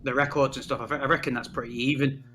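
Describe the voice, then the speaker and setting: A man co-hosting a fantasy football podcast, telling stories in a relaxed, chatty way. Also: medium pitch, fast, a little hiss or hum. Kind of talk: casual chat.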